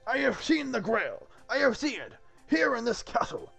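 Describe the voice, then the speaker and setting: A man voicing an earnest, flustered young knight who protests politely and haltingly in a comic read-through. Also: on the high side, very animated, gravelly, soft background music. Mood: excited.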